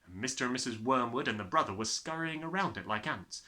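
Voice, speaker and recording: A man narrates a children's story with animated, swooping intonation. His mid-pitched voice is bright and forceful, and his reading is expressive. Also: quick pace.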